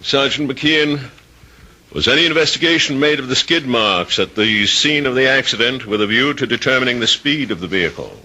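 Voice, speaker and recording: An actor playing a formal, authoritative coroner in a radio drama, official and deliberate. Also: male voice, medium pitch, animated, bright, forceful tone, rough recording. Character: formal, authoritative coroner presiding over an inquest. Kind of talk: old-time radio drama acting.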